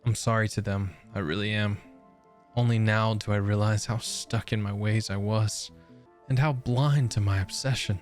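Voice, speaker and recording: A man with a fairly low voice gives a casual game playthrough. He reads on-screen text aloud and adds offhand comments, with game music underneath. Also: fairly even intonation, normal speed. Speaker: gaming video host.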